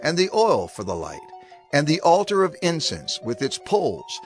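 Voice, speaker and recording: An actor playing a male prophet who solemnly instructs his people with deliberate, declamatory phrasing in a dramatized scripture reading. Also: on the high side, wildly swooping pitch, soft background music. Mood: solemn instruction.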